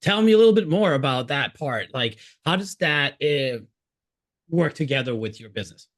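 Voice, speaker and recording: A man's voice: fairly high pitch, animated, moderate pace. Friendly host man from Hungary. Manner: friendly, laughs, asks follow-up questions. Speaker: podcast host. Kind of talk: casual interview conversation.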